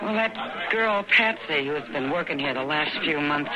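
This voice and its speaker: Casting: seasoned American frontier saloonkeeper, candid and rueful, vintage radio western with heavy crowd noise behind. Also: female voice, deep voice, animated, normal speed, poor audio. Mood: regretful. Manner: conversational, frank. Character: worldly, regretful female saloon owner. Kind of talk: 1950s radio western acting.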